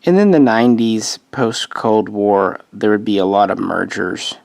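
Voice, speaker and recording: Low-voiced man losing his voice. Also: lively intonation, unhurried, gravelly, a little mellow, no echo. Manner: rambling, self-correcting, with offhand asides. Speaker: host. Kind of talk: casual narrated history explainer.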